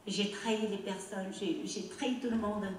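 A middle-aged woman with a Cambodian accent, Khmer her first language, speaks to a theatre audience in halting, heartfelt phrases, often checking in with them. Her handheld mic is caught from a distance, and the hall echoes heavily around her voice. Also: medium pitch, steady tone, slight background noise. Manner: halting, emotional, non-native phrasing, asks the audience "non?". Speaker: speaker on stage. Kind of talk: personal testimony to an audience. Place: dark theatre stage.

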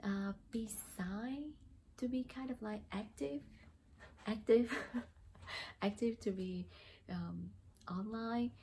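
A woman's voice: mid-range voice, slow, long pauses, dark tone, a little hiss or hum. A young woman from Vietnam with a Vietnamese accent, talking casually to camera as a new real estate agent, hesitant with many fillers. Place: small plain indoor room.

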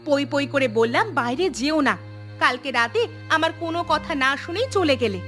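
A woman's voice: high voice, animated, normal speed, a bit bright, soft background music. A woman playing a scolding, worried village wife in a horror-comedy cartoon, nagging in an exasperated way.

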